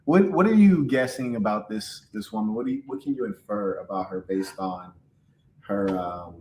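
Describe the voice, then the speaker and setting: A man's voice: lowish voice, lively intonation, normal speed, a little mellow, reverberant, a little hiss or hum, low-quality recording. A man hosting a casual reaction chat, talking bluntly and teasing his co-host. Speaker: podcast host.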